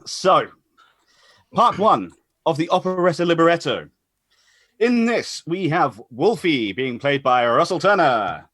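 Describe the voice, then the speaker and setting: A man's voice: a little high, sing-song, slow pace, fairly bright tone, no echo. A man hosting a casual live stream as announcer, chatty and rambling as he introduces things. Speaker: host and announcer. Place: online group call.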